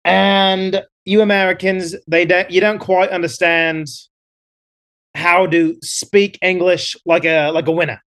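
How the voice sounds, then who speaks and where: A man's voice: high voice, little pitch movement, unhurried, a bit bright. Man doing a bragging Australian adventurer. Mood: boastful. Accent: put-on Australian. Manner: bragging, rambling, many fillers. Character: boastful male Australian adventurer, self-assured. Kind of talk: improvised comedy character.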